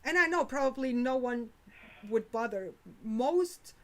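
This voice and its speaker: A woman's voice: sits high, animated, measured pace, a bit bright, low-quality recording. A German woman with a German accent, chatting candidly as host of her own stream. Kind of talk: casual chat on a stream.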